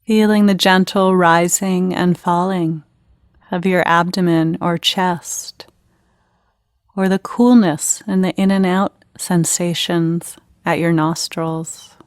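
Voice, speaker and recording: A woman leading a guided meditation in an unhurried, gentle, instructional manner with pauses between phrases. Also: lowish voice, fairly even intonation, no room sound, studio quality.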